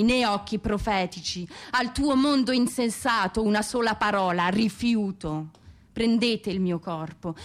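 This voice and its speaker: Woman playing a tormented russian poetess in exile, radio drama monologue, declaiming, pleading but defiant, mid-pitched. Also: lightly acted. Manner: impassioned, declamatory.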